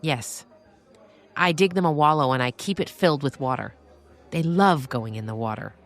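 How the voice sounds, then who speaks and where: A woman's voice: deep voice, moderate pace. A woman voicing a frank, heartfelt farmer in an audio story. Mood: matter-of-fact. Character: frank, earnest adult woman goat-and-pig farmer.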